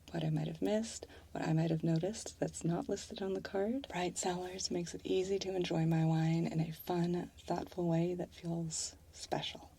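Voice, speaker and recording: A woman ASMR creator speaking gently and softly as she reads a sponsor message. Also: fairly low pitch, creaky, breathy edge. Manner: gentle, hushed. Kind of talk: soft-spoken sponsor read.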